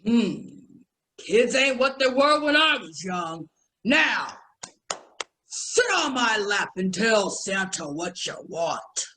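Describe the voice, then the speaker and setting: A woman playing a jolly, crafty old department-store Santa in a radio comedy, speaking in a jovial, drawn-out way. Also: sing-song, pushed and bright, heavily acted. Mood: indulgent, amused. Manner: slow, booming, jovial drawn-out delivery.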